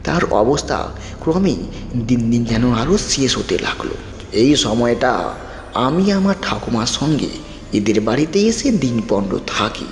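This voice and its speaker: A man reading a scripted ghost story as storyteller, with dramatic, measured phrasing. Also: mid-range voice, very animated, a little hiss or hum. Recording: roomy long reverb, music bed.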